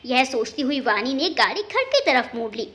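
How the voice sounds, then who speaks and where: Female storyteller for family drama narration; high-pitched, bright, expressive. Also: normal speed.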